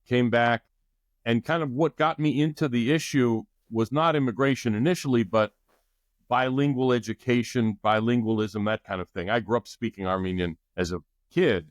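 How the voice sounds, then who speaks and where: Middle-aged male guest, measured explainer, dry close recording. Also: medium pitch, no echo. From United States. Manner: thoughtful, explanatory with restarts. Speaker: guest expert. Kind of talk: podcast interview.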